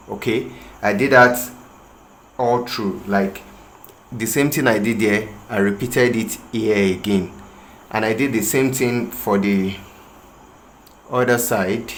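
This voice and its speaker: A man with a Nigerian English accent and a Yoruba lilt talks slowly through a tailoring demonstration, pausing and restarting as he works. His voice sits at an ordinary mid pitch. It is recorded in an echoey room, with faint ambient noise behind him. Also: fairly bright tone. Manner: slow, hesitant, explaining with pauses and restarts. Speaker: tailoring instructor. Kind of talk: tutorial demonstration. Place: room with a patterned rug, working on the floor.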